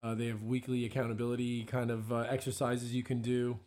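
A hoarse man, a musician guest, chatting casually on a local radio show with lots of ums and restarts. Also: mid-range voice, completely flat delivery, a little fast, a little mellow. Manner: rambling, many ums and restarts.

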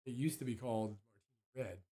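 A hoarse man, a musician guest, chatting casually on a local radio show with lots of ums and restarts. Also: medium pitch, moderate pace, mellow. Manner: rambling, many ums and restarts.

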